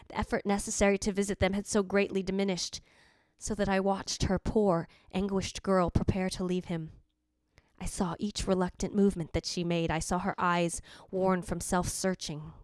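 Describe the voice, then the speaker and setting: A woman's voice: on the low side, a little mellow, no echo, natural voice. Woman playing a brooding ghost wife, dry sound. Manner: literary, deliberate, dramatic phrasing. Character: jealous, brooding ghost of a dead wife, narrating. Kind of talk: old-time radio drama narration.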